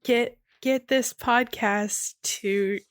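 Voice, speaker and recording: Woman, fairly high pitch, casual chat, playful, teasing, dry close recording. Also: steady tone, very slow, no echo. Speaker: podcast co-host. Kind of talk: casual podcast banter.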